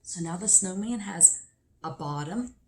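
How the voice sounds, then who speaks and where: A woman reading a picture book aloud expressively for children, with deliberate emphasis. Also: fairly low pitch, animated, darkish tone, a little hiss or hum. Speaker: children's story reader.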